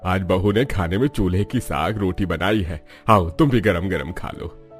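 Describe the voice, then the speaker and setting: A man's voice: deep voice, unhurried, soft background music. An actor voicing a kindly, fatherly village man in a cartoon, plain-spoken and inviting. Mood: welcoming.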